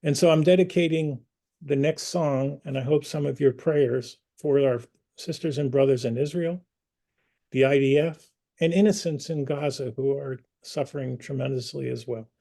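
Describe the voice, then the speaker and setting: A man hosting an online community meeting, speaking earnestly and personally, pausing with fillers and restarts. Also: mid-range voice, gravelly, warm tone. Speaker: community group host.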